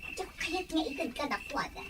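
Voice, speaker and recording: A boastful, prodding relative acted by a woman in an audio drama. The voice is extremely high and swoops animatedly as it needles. There is clear noise behind it. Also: expressive, moderate pace. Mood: taunting, prodding. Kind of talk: Ilocano audio drama acting.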